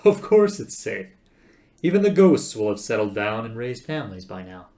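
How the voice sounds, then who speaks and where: A man voicing a brash, cocky spaceship adventurer who talks loudly, emphatically and impatiently. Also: fairly low pitch, very animated, brisk. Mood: dismissive.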